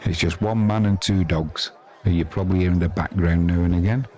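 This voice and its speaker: A man's voice: low voice, fairly even intonation, a little fast, warm tone. A man with an English accent giving chatty, wry, self-deprecating amateur live commentary on cricket. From England.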